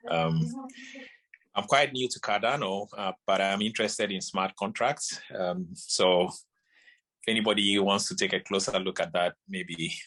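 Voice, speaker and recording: A man's voice: sits low, unhurried, a bit bright, poor audio. A man from Ghana, tentatively introducing himself as a newcomer at an online meeting.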